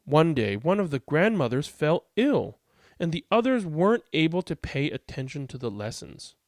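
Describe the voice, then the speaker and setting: A man's voice: fairly high pitch, big pitch swings, normal speed, dry recording, studio quality. A man hosting a read-aloud, reading picture books expressively and commenting informally. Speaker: read-aloud host and storyteller.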